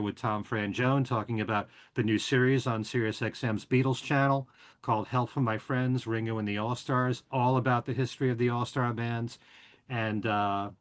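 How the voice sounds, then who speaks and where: A man hosting a podcast, casually running through show news and plugs in a chatty, relaxed way with frequent filler words. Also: medium pitch. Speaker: podcast and radio host.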